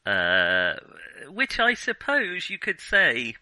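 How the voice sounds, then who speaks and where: Bright, forceful man hosting a podcast. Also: on the high side, wildly swooping pitch, slow pace, no echo. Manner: hesitant, self-deprecating. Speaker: podcast host. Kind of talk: casual film discussion.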